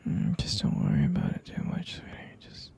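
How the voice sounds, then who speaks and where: A man playing a sleepy, affectionate half-vampire boyfriend in an intimate ASMR roleplay, murmuring softly and haltingly in a breathy, whispery voice. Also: natural voice. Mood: drowsy, soothing. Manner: drowsy, halting, murmured endearments.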